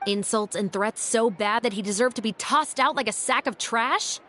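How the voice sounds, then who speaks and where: A woman's voice: fairly high pitch, normal speed, a bit bright, slight background noise, natural voice. An actress playing a stern young woman officer who speaks in a level, dryly teasing way.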